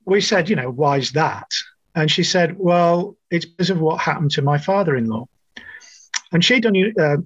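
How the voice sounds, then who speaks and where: A middle-aged man with a New Zealand accent, a nurse guest telling his story in a measured, thoughtful way. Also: a little high, husky, dry recording, highs cut off. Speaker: interview guest, registered nurse. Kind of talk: conversational interview storytelling.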